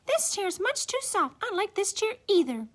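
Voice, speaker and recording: A woman's voice: extremely high pitch, big pitch swings, moderate pace, voice pushed up. A woman voicing a fussy little girl with exclaiming, singsong lines in a children's storybook tale. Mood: fussy complaining. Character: little girl Goldilocks, hungry, fussy, easily tired.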